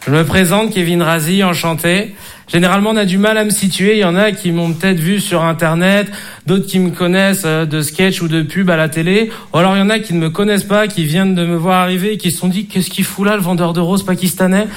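A young man doing an animated, mocking stand-up routine. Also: sits high, a little fast. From France. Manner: animated, mocking, does voices. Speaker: stand-up comedian. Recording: live club with audience noise. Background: crowd laughter.

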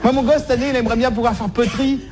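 A man's voice: very high voice, fairly even intonation, racing, a little mellow, a little hiss or hum. A man doing a stand-up comedy monologue in slangy, streetwise, mock-naive style, repeating phrases as he goes, on a live stage recording.

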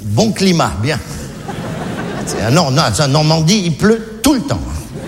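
Humoriste homme, voix haute et très mobile, conteur râleur sur scène. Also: male voice, fairly high pitch, unhurried, background noise. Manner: lively storytelling, mock complaints. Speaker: stand-up comedian. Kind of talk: observational stand-up monologue. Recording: live hall recording aired on radio.

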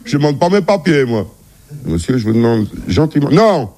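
A man's voice: mid-range voice, sing-song, normal speed, dark tone. A man telling a joke casually on the radio.

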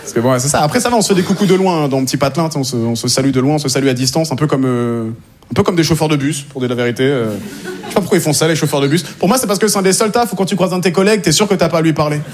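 A man's voice: fairly high pitch, rapid-fire. A male stand-up comedian telling everyday stories in casual slang, repeating phrases, before a live audience.

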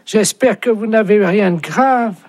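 A man's voice: sits high, measured pace, darkish tone, dry recording. A man voicing a hard-of-hearing, chatty and stubborn old lady in a radio prank phone call, fussy and sweetly condescending.

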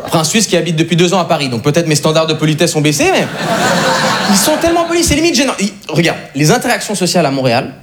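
A young Swiss man doing a stand-up set, animated and acting out the voices he quotes. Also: a little high, very fast, very noisy. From Switzerland. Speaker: stand-up comedian. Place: comedy club stage.

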